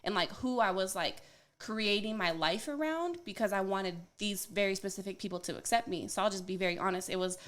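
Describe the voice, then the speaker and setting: A soft-voiced woman in a studio podcast interview, talking candidly and casually with lots of fillers. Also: mid-range voice, fairly quick. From Colorado, United States. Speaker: guest wellness coach. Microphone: podcast studio mic.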